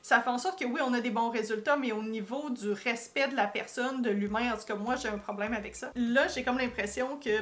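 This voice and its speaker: A woman's voice: a little high, fairly bright tone. A woman from Quebec with a Québécois accent, hosting a casual explanatory commentary, talking straight to viewers and correcting herself as she goes. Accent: Québécois French. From Quebec, Canada. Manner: conversational, self-correcting, addresses viewers directly. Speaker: YouTube host and marketing commentator.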